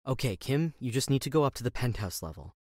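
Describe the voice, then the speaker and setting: A man's voice: medium pitch, lively intonation, quick pace, a little mellow, no room sound, clean studio sound, lightly acted. A man acting a bossy, impatient heist crew leader in an audio drama. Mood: commanding.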